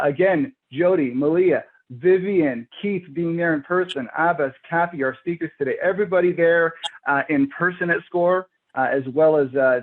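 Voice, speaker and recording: A man chairs an event and opens it with halting remarks full of fillers. His voice is a touch higher than usual. The sound is tinny and narrow, like a phone line. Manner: hesitant, many fillers. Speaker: committee chair.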